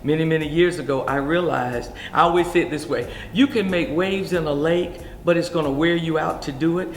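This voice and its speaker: A woman bishop giving an animated, preacherly testimonial. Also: sits low, fairly quick, a little hiss or hum. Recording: echoey hall.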